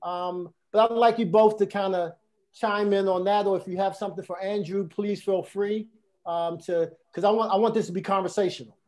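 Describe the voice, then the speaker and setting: A man with a notably high voice hosts a relaxed interview over a video call, speaking through an earbud headset from a room at home. His delivery is animated and full of repeats and restarts. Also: moderate pace. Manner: animated, gestures a lot, many restarts and repetitions. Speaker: podcast host.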